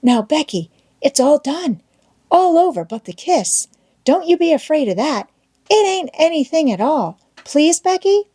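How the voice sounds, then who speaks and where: A woman's voice: a little high, big pitch swings, unhurried, warm tone, husky, voice pushed up, heavily acted. A woman voicing an eager, boastful young schoolboy in love in an audiobook, with folksy old-fashioned rural American wording and a wheedling manner. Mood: pleading. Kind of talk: audiobook character voice.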